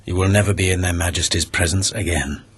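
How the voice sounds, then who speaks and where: An actor playing a haughty, vindictive lord who speaks with contempt and menace. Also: male voice, low-pitched, fairly even intonation, fast talker, husky. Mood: contemptuous. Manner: contemptuous, threatening. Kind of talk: radio drama acting.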